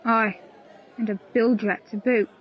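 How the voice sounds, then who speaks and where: A woman's voice: a little high, steady tone, unhurried, muffled recording, some chatter behind, close to an everyday voice. A woman playing a loyal, plain-spoken pirate crew member in an audio play, telling her story earnestly in old seafaring dialect. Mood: indignant. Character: loyal, plain-spoken pirate crewwoman confiding a grave secret.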